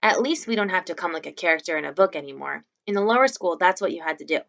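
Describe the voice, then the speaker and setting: A woman's voice: on the low side, lively intonation, quick pace, creaky, a bit bright, highs cut off. A woman voicing an eager schoolgirl bookworm from a storybook, emphatic and knowing. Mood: chatty. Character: schoolgirl, eager, matter-of-fact bookworm friend.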